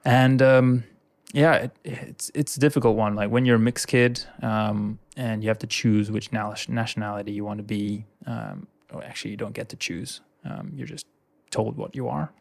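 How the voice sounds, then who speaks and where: A man's voice: on the low side, steady tone, moderate pace, creaky, hardly any pauses. A young man in his twenties from Indonesia, a podcast guest telling his story in an easygoing, chatty way. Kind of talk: casual interview chat.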